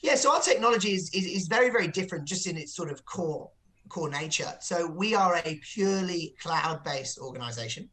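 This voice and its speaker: A man's voice: on the high side, expressive, brisk, bright, forceful tone. A man giving a business interview as a company executive, explaining things with frequent repeated words.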